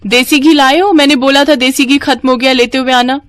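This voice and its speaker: A woman voicing aggrieved young married women in an animated Hindi family story, with an emphatic, complaining manner. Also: high voice, fairly quick. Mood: sarcastic, nagging.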